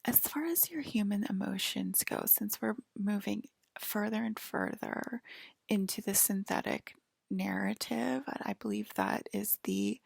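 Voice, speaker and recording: A woman doing an ASMR roleplay in a breathy whisper and soft talk, reassuring the listener like a clinician and often checking in. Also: a little high, dry recording, studio quality. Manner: hesitant, reassuring, clinical patter with frequent checking "okay?".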